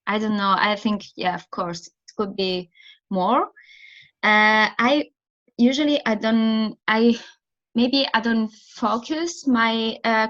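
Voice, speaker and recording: A woman's voice: medium pitch, a bit bright. Italian woman speaking English, lo-fi call. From Italy. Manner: searching for words, frequent restarts, thoughtful. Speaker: theatre director, guest. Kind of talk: interview answers on a remote call.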